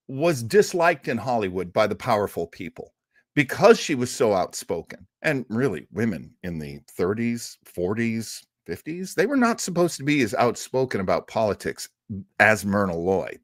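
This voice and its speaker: Male host, casual chatter, animated pitch, dry close mic. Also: no room sound. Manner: chatty and enthusiastic, with frequent fillers and restarts. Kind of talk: casual livestream chat.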